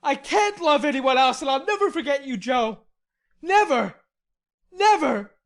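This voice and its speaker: A woman's voice: high voice, a little slow, pitched above the performer's own voice. A woman voicing a hot-tempered, heartbroken young man who pleads in vehement outbursts.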